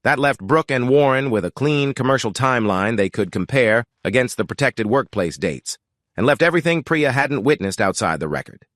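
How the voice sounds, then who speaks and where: A man giving scripted first-person story narration in an even, reflective way. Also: mid-range voice, moderate pace, no room sound, clean studio sound.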